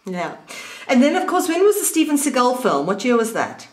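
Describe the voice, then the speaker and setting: A woman hosting a casual interview, enthusiastic and animated in her reactions. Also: high voice, normal speed. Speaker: interviewer, host. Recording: echoey room.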